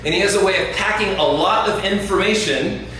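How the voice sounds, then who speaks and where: Man preaching, loud and chatty, higher voice, heard from a distance, very echoey room, faint ambience. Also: brisk, slight background noise. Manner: loud, conversational, checks in with the audience. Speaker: guest preacher. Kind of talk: sermon.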